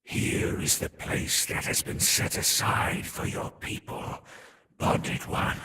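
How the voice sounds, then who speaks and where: A man voicing a haughty, ominous serpent-folk overlord in a fantasy game, speaking in solemn, formal, imperious pronouncements with a breathy, whispery tone. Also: slow. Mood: lofty, condescending. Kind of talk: scripted fantasy game lore narration. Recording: echoey hall reverb with ambient noise.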